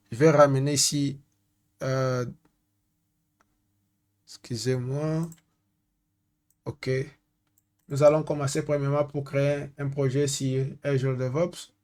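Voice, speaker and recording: A man from Angola, a native Portuguese speaker with an Angolan accent, works as a data consultant and gives a hesitant screen-share tech tutorial, often pausing to search for French words. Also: medium pitch.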